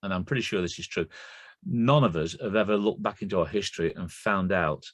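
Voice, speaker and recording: Brief: middle-aged Lancashire man, guest poet, anecdotal, lowish voice, dry close sound. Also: brisk, husky, no room sound. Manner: chatty, anecdotal. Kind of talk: casual conversation.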